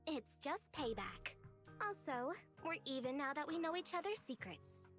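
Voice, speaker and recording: A teenage schoolgirl from an anime action game. Her voice is high and swings widely in pitch, with faint music underneath. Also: very animated, moderate pace, a bit bright, full character voice. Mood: playful, secretive.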